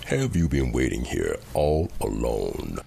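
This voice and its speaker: A man acting a dry rabbit commander in a space audio drama. His voice is extremely deep and gravelly, and he draws his words out slowly. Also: big pitch swings, warm tone, slight background noise, exaggerated voice. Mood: dry, unimpressed. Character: slow, dry male rabbit first officer.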